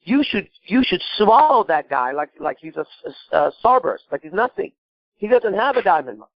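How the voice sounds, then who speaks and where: A man's voice: high-pitched, big pitch swings, moderate pace, gravelly, dry recording, highs cut off. A man from Iran giving improvised advice as a call-in show host, emphatic and blunt, repeating himself and asking rhetorical questions. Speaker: podcast host and coach.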